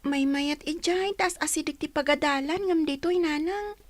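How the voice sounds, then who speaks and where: A woman's voice: high voice, normal speed, no echo, lightly acted. A woman playing a curious, eager schoolgirl and older sister in a radio drama, with an inquisitive, childlike manner.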